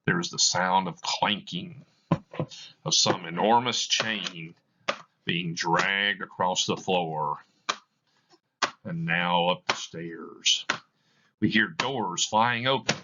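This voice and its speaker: A man reading stage directions aloud as scripted narration, with measured, deliberate phrasing. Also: sits low, bright, forceful tone, highs cut off, slight background noise. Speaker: reader of a play for students.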